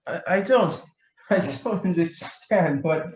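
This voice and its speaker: A woman acting a drunken, trusting nobleman in a radio play, stammering and halting, with coughs and weak laughs breaking up the words. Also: fairly low pitch. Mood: confused, drunk. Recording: roomy, echoing sound.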